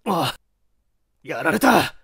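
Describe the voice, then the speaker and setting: Stern, self-assured police detective for a mystery audiobook, acted by a man, brusque, pitched fairly high. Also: big pitch swings, very slow, gravelly, fairly bright tone, slightly rough, dry recording, clean studio sound, exaggerated voice. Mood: shocked. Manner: brusque, authoritative.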